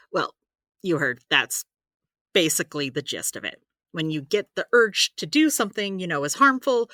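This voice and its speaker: Audio drama healer: practical caring mentor, very animated delivery, dry close sound, rambling explanations. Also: female voice, sits low, dry recording, exaggerated voice. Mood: instructive. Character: supernatural healer woman, practical, chatty, gently caring mentor.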